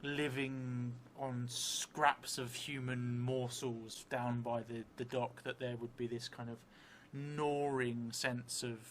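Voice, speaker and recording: A middle-aged man improvising narration for a solo tabletop game, thinking aloud thoughtfully in halting, short phrases. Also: medium pitch, a bit bright, slight background noise. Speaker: solo role-playing streamer. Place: indoor room set up for streaming, seated at a table. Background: music.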